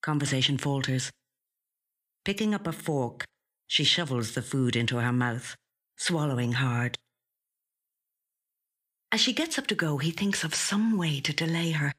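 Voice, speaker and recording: Low, husky-voiced woman reading fiction. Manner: measured, dramatic, expressive reading. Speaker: professional actor reading short stories. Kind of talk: literary short story narration. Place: recording studio.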